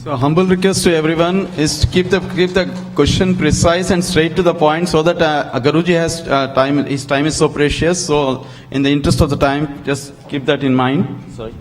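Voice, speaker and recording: A man's voice: on the high side, steady tone, normal speed. A man, an event organizer, politely asking the audience to keep their questions short. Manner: polite, asking people to be brief. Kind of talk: announcement to the audience.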